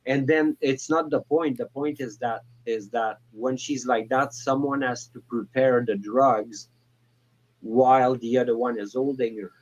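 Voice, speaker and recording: Halting man in his forties on a call-in. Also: medium pitch, steady tone, muffled recording. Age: 40s. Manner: halting, many restarts and fillers. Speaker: caller. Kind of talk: casual call-in chat.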